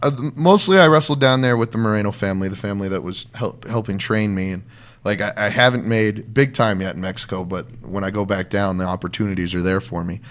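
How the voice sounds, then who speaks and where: A man, a professional wrestler, talking as an interview guest in a rambling, halting way with frequent laughs. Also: fairly low pitch. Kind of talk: casual interview conversation.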